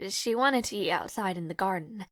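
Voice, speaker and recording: A woman's voice: medium pitch, brisk, a bit bright, no room sound, studio quality, natural voice. A woman acting in an audio roleplay as a devoted young maid to a noble lord, nervous and caring, with a hesitant, formal and apologetic manner. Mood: polite, composed. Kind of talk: scripted audio roleplay, intimate fantasy drama.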